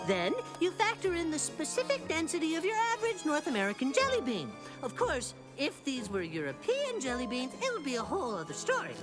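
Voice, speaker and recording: High, swooping cocky cartoon boy. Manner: pompous, mock-scholarly, wisecracking. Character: cocky know-it-all young boy, playful schemer. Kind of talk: children's cartoon acting.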